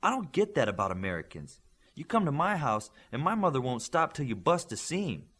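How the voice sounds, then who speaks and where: A man voicing a brisk, bossy young Japanese superheroine in an audiobook, speaking in an assertive, instructing way. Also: fairly high pitch, sing-song, fairly bright tone, voice pushed up. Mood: scolding, insistent.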